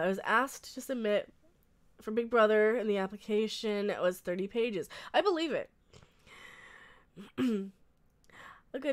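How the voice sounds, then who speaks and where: A woman's voice: mid-range voice, clear, resonant tone. A young woman with a cold and a stuffy nose, from Colorado, hosting a casual live stream and chatting with viewers in a rambling way with frequent laughs. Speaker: livestream host.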